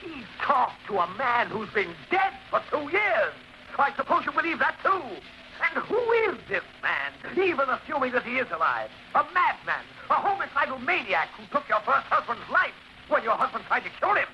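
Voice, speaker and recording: A man's voice: extremely high pitch, sing-song, normal speed, bright, forceful tone, heavily acted. A man playing an agitated, bullying stepfather who questions accusingly, in old-time radio suspense style. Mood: outraged. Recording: muffled, noisy 1940s radio recording.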